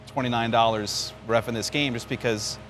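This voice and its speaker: A man's voice: mid-range voice, steady tone, normal speed, a bit bright, soft background music. A man from New York, a radio sports announcer, answering interview questions in a conversational storytelling way. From New York, United States. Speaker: baseball radio play-by-play announcer. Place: outdoors at a spring training ballpark. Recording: clear background noise, outdoor ambience.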